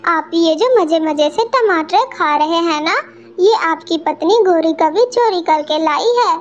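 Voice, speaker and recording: A small, honest mother bird from a children's cartoon, put on by a woman, with an extremely high pitch. She speaks in a polite, earnest way. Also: unhurried, highs cut off. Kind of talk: Hindi children's cartoon dialogue.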